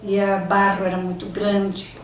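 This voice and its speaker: An older Brazilian woman, a native Italian speaker, recalls her past at a slow, unhurried pace, halting and restarting as she searches for words. She sounds far from the mic in a strongly echoing room, with faint ambient sound behind her. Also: mid-range voice, completely flat delivery, slight background noise. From Brazil, São Paulo state. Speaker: interviewee. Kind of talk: oral history reminiscing.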